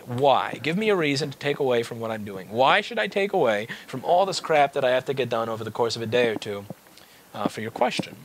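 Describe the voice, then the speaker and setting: A man's voice: on the high side, fairly bright tone, a little hiss or hum. A man giving a blunt, exasperated, unscripted rant to viewers, stressing points by repeating phrases. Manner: exasperated, occasionally swearing. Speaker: repair business owner making a video.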